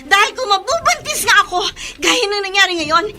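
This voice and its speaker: A Filipino actress playing a young captive woman who is sly and quietly defiant, in a Tagalog radio drama. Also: very high-pitched, animated, a little fast, slight background noise, exaggerated voice. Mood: taunting.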